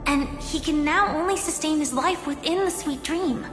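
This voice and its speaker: A woman voicing a gentle, earnest and cautious young woman in a video game, a little hesitant in her delivery. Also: high voice, moderate pace, natural voice. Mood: wry, thoughtful. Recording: echoey with long reverb, music behind. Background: game music.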